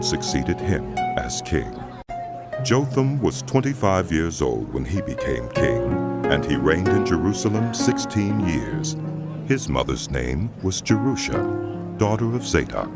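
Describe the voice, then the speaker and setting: A man reading scripture as the solemn, measured narrator of a dramatized audio Bible. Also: rumbling low.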